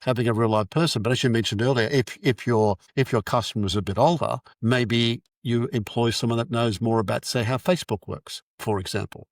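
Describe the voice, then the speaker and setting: A man's voice: mid-range voice, a little fast, few pauses, dry recording. A middle-aged man hosting a podcast, chatting casually with frequent restarts and fillers. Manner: rambling, many restarts and fillers. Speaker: podcast host. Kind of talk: casual interview chat.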